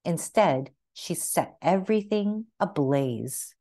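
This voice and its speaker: A woman narrates a children's picture book in a slightly low voice. Her pitch moves widely as she reads, and she phrases each sentence carefully, in an expressive storytelling style. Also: slow pace. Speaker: read-aloud narrator.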